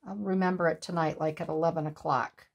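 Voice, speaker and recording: A woman in her sixties chatting at home while she makes a collage at her craft table, with a breathy, sniffly voice, laughing asides and remarks to herself. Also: deep voice, steady tone, fast, highs cut off. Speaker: craft channel host. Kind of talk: casual chat while making a collage. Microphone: overhead camera on the desk, hands only in frame.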